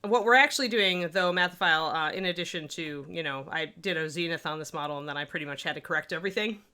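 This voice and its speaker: A woman with a Midwestern accent talks through a painting livestream in a loose, rambling way, answering chat as she works. Her voice sits fairly low for a woman but sounds bright and forceful. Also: fast, clear, resonant tone. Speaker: miniature painting streamer. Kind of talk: casual livestream painting tutorial.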